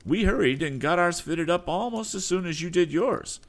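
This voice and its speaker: Man doing a high-voiced insolent schemer, sneering and pushy, storybook style. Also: expressive, normal speed. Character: smug, insolent rival businessman.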